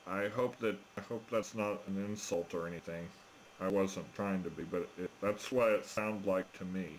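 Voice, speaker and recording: A man chatting casually over a radio link as an amateur operator, speaking in a hesitant, halting way. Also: deep voice, steady tone, slight background noise, rough recording. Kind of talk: casual ham radio chat.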